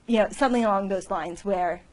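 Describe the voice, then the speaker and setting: A woman's voice: medium pitch, brisk, rough recording. Hesitant woman small group leader. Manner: hesitant, with fillers. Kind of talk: casual group discussion.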